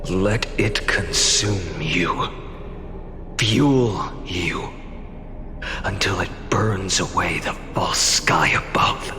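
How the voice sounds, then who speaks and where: A man's voice: medium pitch, slow pace, pauses often, lightly acted. A male actor playing a resolute, anguished young hero in a video game, with a grave, declamatory delivery. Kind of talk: scripted game cutscene acting. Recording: long reverb under music.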